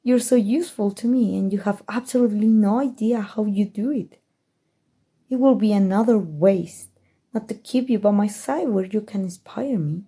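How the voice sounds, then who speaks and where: A woman with a Mexican accent playing a haughty, scheming evil queen in a soft, musing ASMR roleplay monologue. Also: mid-range voice, breathy edge. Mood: amused, plotting. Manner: slow, measured, musing delivery addressed to a listener.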